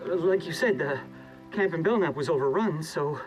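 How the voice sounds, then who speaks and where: A man playing an anxious government research scientist in a hazmat suit, with halting, stammering speech, in cinematic video game acting. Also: on the high side, animated, music in the background, close to an everyday voice, poor audio. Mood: frightened, flustered.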